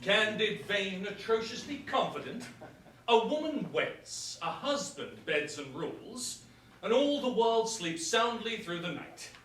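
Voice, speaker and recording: A man's voice: high voice, animated, a little slow, a bit bright. A man playing a scheming, judgmental king and father in a staged verse comedy, with stately, declamatory delivery. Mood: disdainful. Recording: echoey room, far mic, faint noise.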